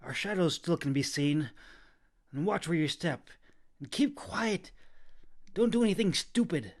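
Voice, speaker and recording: A bossy, ancient female shapeshifter played by a male narrator, lifted above his normal pitch. She is mouthy and impatient, delivering curt, hissed scoldings in a fantasy storybook. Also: lively intonation, a little slow.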